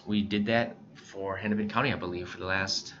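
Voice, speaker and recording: A man giving a casual software walkthrough as a tutorial presenter, speaking informally and a bit hesitantly with frequent short pauses. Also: low-pitched, fairly even intonation. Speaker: tutorial presenter for a scanner retailer. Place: indoors, voice-over for screen recording. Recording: muffled, lo-fi with clear background noise.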